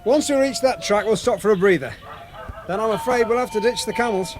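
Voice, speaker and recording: A man's voice: high voice, brisk, music in the background, close to an everyday voice. A man acting in a radio drama as a wary, scheming desert guide with a colloquial, matter-of-fact manner.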